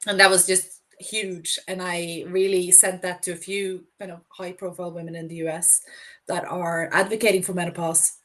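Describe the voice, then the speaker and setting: A woman with a lowish voice speaks as a guest on a podcast. She is unwell and her voice is failing. She keeps it informal, telling things as casual anecdotes. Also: level tone, moderate pace, fairly bright tone. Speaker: podcast guest, startup founder. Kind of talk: casual interview talk.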